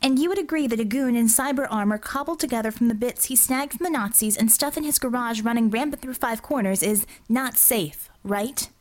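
A woman's voice: on the high side, fairly quick, hardly any pauses, voice pushed up, lightly acted. A woman voicing a defiant, sarcastic young female healer in an audiobook, arguing in a flippant, clipped way. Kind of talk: audiobook character dialogue.

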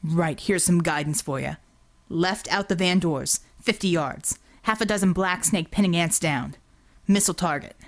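A woman voicing a gruff, sardonic male combat operative in an audiobook, rumbling out curt, blunt reports. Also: moderate pace, lightly acted. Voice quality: low rumbling.

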